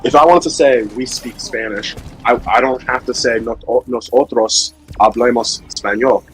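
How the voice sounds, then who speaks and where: Guy on stream just chatting and explaining stuff, kinda rambly and halting, music going in the background. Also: mid-range voice, fairly even intonation, low-quality recording. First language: Somali. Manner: informal, hesitant, rambling explanations. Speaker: livestream host. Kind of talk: casual chat, explaining language learning. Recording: music behind the voice.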